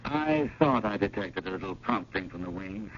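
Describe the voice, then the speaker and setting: An actor playing an earnest, anxious museum curator in a 1970s radio drama, speaking with formal, insistent urgency. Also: male voice, on the low side, animated, moderate pace, lo-fi sound. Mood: tense, relieved. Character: John, earnest, anxious male museum curator pursuing a painting. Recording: noisy, band-limited 1970s radio broadcast.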